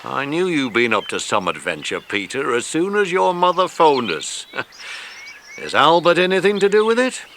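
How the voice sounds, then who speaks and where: An actor playing a genial, authoritative police inspector in a children's audio drama. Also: male voice, on the high side, very animated, moderate pace, fairly bright tone. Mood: surprised, jovial. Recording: faintly noisy cassette transfer.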